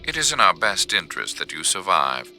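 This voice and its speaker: An actor playing a cold, calculating schemer in a video game, speaking in a measured, smug and menacing way with a gravelly voice. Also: male voice, deep voice, very animated, sharp tone, exaggerated voice. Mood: cold, commanding. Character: cold, calculating male schemer narrating corporate downfall. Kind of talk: dramatic video game cutscene acting and narration. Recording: music behind the voice.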